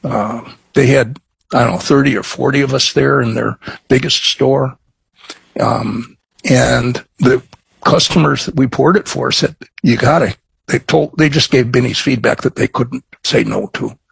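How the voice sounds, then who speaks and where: A middle-aged man from Chicago with a Chicago accent, chatting as a guest in an interview, halting with many restarts and fillers. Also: mid-range voice, creaky, husky, no room sound, poor audio. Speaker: craft distiller guest. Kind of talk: casual interview chat.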